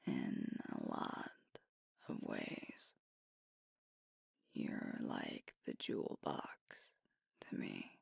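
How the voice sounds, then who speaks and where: A woman playing a sweet, devoted werewolf girlfriend in an ASMR roleplay, speaking in a hushed, breathy, tender voice close to the microphone. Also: no room sound. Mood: tender, wistful. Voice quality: breathy, whispery. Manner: slow, tender, hushed delivery with pauses. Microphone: close microphone, dry.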